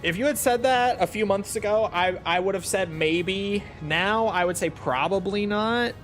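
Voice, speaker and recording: Male game streamer, slightly high-pitched, casual and self-deprecating, loose rambling chat over soft background music. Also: normal speed. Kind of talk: casual livestream chat, replying to viewers.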